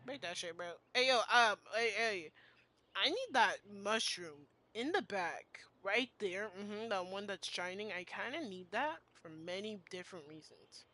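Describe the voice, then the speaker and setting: A woman's voice: medium pitch, expressive, a little slow, sharp tone, no echo. A woman streamer giving casual, reactive game commentary in a slangy, sweary, gushing way. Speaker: gaming streamer.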